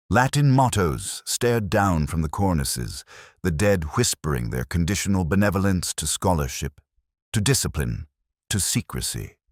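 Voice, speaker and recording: A man playing a melancholy, watchful police inspector, narrating a literary mystery in a measured, wry and brooding way. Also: a bass voice, no echo, studio quality, heavily acted. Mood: wry, reflective. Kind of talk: scripted first-person literary mystery narration.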